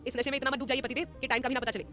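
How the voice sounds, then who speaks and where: A woman's voice: high voice, fairly even intonation, rapid-fire, fairly bright tone, faint music behind. A woman narrator voicing a cheerful young woman who playfully teases her fiancé in a Hindi audio story. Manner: light, playful.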